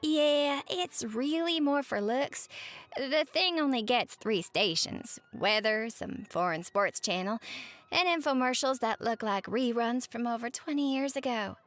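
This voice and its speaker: A cheerful, folksy waitress with a Southern US accent , played by a woman, chats to a late-night customer in a roleplay audio. Her voice sits on the high side and is expressive as she spins her stories. Faint music plays behind her. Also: unhurried. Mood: chatty, amused. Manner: folksy, expressive, uses pet names. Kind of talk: roleplay monologue to the listener, chatty storytelling.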